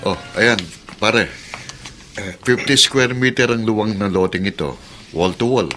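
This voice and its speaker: An actor playing a firm, matter-of-fact man selling his small house in a radio drama, brisk and unbudging. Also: lowish voice, over music, lightly acted. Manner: brisk, plain-spoken, unbudging.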